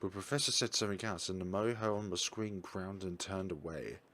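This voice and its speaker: A man voicing an AI copy of a young woman scientist, who speaks calmly and precisely with a mild teasing edge. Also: low-pitched, brisk. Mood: embarrassed.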